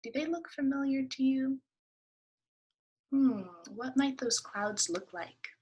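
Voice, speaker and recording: A woman's voice: high-pitched, slow pace, noisy background. A woman teacher reading aloud to children, explaining as she goes and prompting listeners with questions.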